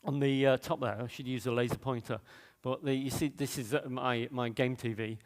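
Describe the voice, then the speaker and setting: A man from Manchester with a Manchester accent, speaking at a conference in a mid-pitched voice. His delivery is loose and conversational, full of ums, restarts and asides as he gives his talk. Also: steady tone, a little fast, big-room sound. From Manchester, England. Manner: loose, chatty delivery with many fillers and restarts.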